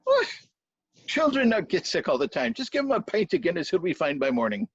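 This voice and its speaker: A man's voice: sits high, wildly swooping pitch, a little fast, breathy edge, no echo, muffled recording, heavily acted. A man voicing a blustering, henpecked Irish king in a folk tale, grandiose and repeating himself. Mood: dismissive.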